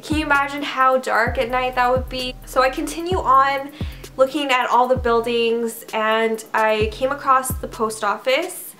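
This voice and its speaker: A young woman from Canada, her voice sitting a little high, chats straight to camera from her bedroom, peppering her story with "like" and "I don't know". Soft music plays faintly underneath. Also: fairly even intonation, a little slow. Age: young adult. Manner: chatty, full of "like" and "I don't know". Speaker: vlogger. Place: bedroom, indoors.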